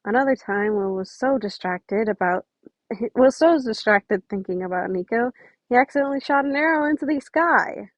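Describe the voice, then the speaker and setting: A woman's voice: fairly high pitch, expressive, moderate pace, no room sound. A woman narrating a story informally as an amateur reader, sometimes stumbling and rereading phrases.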